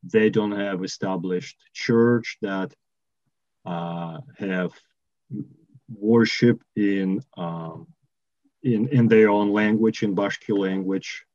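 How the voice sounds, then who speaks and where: A man in his thirties with a Russian accent, a missionary answering interview questions on a video call, slowly and carefully with many pauses. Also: on the low side, darkish tone, no echo. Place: home room with bookshelves, indoors. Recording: dull lo-fi call audio.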